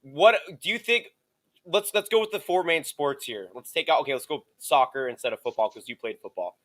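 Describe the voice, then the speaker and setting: A man with a bright, forward voice on the higher side talks very fast as a co-host. He throws out questions in casual sports chat. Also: lively intonation.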